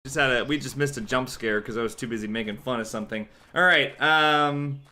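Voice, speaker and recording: A man's voice: mid-range voice, brisk, sharp tone. A man giving sarcastic, joking let's play commentary while playing a game. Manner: sarcastic, dry jokes about the game. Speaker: game streamer.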